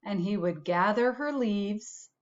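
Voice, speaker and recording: A woman's voice: medium pitch, takes it slowly, highs cut off. A woman reading a storybook aloud to children, like a school principal addressing pupils.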